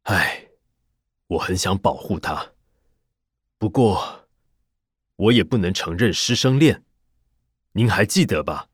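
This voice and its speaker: Audiobook role: man acting a smug, evasive male teacher, low and quiet, dry sound. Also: lively intonation, takes it slowly, long pauses, husky, dry recording, full character voice. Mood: pleading. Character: smooth, self-assured cram-school teacher, male, evasive. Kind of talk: audiobook character dialogue.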